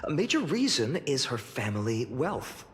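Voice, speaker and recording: Man as young male game scholar, talkative, teasing, animated intonation, theatrical, long reverb. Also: mid-range voice, moderate pace, natural voice. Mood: reflective. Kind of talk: video game character acting.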